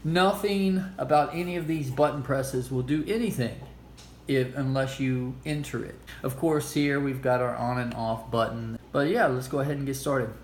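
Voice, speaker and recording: A man's voice: mid-range voice, moderate pace. A man hosting a casual hands-on game demonstration, explaining things informally with a self-amused, nerdy enthusiasm. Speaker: host of a retro game play-through video. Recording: clearly noisy amateur recording.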